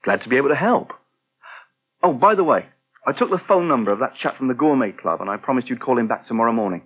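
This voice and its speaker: A helpful, touchy young English restaurant worker in a vintage British radio soap. His voice is rough-edged and very lively in pitch, with brisk, plain delivery. The sound is narrow and thin, like an old broadcast tape. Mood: earnest, helpful. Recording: thin, band-limited old radio recording.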